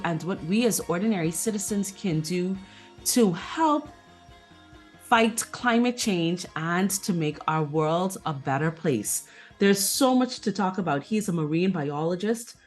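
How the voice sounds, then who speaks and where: A woman with a Bahamian accent co-hosting a radio interview in an enthusiastic, chatty way. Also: on the low side. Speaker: radio co-host.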